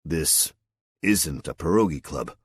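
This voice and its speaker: Male reader doing a dry-witted everyman, low, husky, close and dry. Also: lively intonation, takes it slowly, no room sound, exaggerated voice. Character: wry, wary everyday man.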